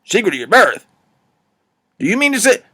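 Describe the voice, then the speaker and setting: Guy doing a bald old swindler preacher, folksy blustery drawl, low and sly. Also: big pitch swings, moderate pace, sharp tone, heavily acted. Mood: scornful. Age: older. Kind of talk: audiobook character voice.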